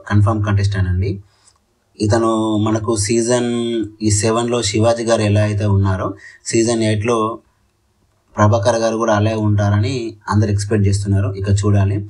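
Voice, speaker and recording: A man's voice: fairly low pitch, a little mellow, far from the mic, low-quality recording. A man with a Telugu accent presenting an entertainment news update as a channel host, addressing viewers politely.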